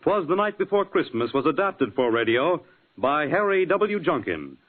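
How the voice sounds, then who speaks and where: A man's voice: on the high side, big pitch swings, moderate pace. An American man announcing from a script in 1940s network radio style. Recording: narrow tinny vintage radio broadcast.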